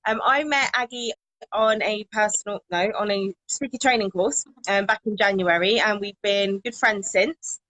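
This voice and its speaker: A bubbly, enthusiastic woman hosts a live interview from her phone. Her voice is mid-pitched and recorded close and dry, with no room sound. She welcomes and praises her guest eagerly. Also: normal speed, fairly bright tone, no room sound, low-quality recording. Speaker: confidence coach, live-stream host. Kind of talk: live social media interview.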